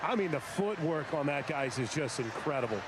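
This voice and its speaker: High-voiced American sideline man amid loud noise. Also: lively intonation, normal speed. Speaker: sideline reporter. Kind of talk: live chatty sports reporting. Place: outdoors on a stadium field. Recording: loud stadium noise around him.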